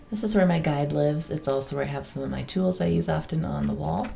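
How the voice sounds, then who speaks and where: Raspy, very low-voiced woman with a cold. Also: a little hiss or hum. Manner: relaxed, chatty, with frequent ums and soft laughs. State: getting over a cold. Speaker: gaming channel host. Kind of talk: casual game world tour voice-over.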